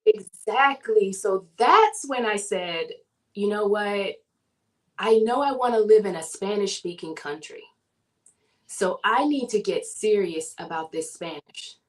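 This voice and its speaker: A woman from the southern United States, a guest in a casual interview, telling her story in an animated way and laughing often. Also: medium pitch, unhurried, poor audio.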